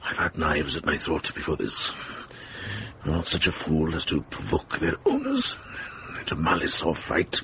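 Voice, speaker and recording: A man playing a wise, wry older medieval monk in a radio drama, composed and unhurried in manner. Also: extremely low pitch, huge pitch swings, background noise, heavily acted.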